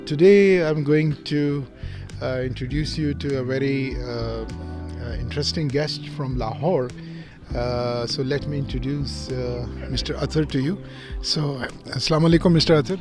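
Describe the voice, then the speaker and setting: A man's voice: mid-range voice, normal speed. Hesitant man hosting an interview. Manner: hesitant, many uh fillers. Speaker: host. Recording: music playing underneath.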